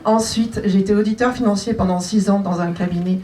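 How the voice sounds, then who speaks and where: A woman's voice: medium pitch, fairly even intonation, a little fast, darkish tone. A woman in her forties introducing herself as a candidate at a public meeting, chatty and lightly joking. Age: 40s. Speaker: municipal list candidate. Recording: distant mic at a public meeting.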